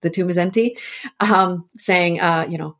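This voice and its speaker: A woman speaking as an expert guest in a conversational podcast interview, explaining things informally with animated asides. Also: lowish voice, a little slow. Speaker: expert guest, annotated edition editor.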